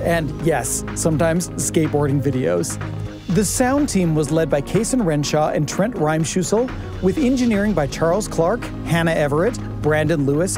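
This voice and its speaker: A man with a fairly high-pitched voice co-hosts a podcast. He speaks conversationally, as if talking through a script, with music clearly playing behind him. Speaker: podcast co-host. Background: music bed.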